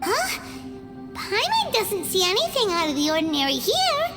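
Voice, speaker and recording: A woman voicing a small, childlike fairy companion in a video game, squeaky, exclamatory and easily scared. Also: huge pitch swings, moderate pace, loud music behind the voice, heavily acted. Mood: puzzled. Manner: speaks of self in third person, exclamatory.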